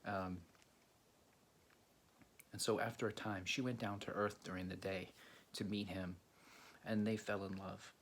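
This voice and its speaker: Casting: male video host from Greece, low creaky voice, halting casual storyteller, faint noise behind. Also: flat delivery, a bit bright. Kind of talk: casual storytelling to camera or viewers.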